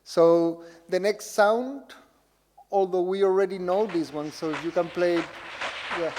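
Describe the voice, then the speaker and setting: Male lecturer, higher-pitched, informal and audience-facing, heard in a reverberant, noisy hall. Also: measured pace. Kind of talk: public lecture with audience participation. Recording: reverberant hall with loud background noise.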